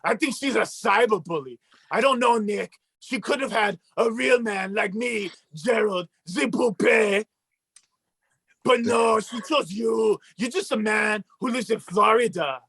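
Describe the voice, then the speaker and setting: A man voicing a boastful mustached male puppet who shouts and brags like a cartoon villain, with a gravelly, husky voice. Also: sits high, a little slow, no echo, full character voice. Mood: scornful. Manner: shouting, bragging, mock-villainous. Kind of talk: comedic puppet bit on a talk show.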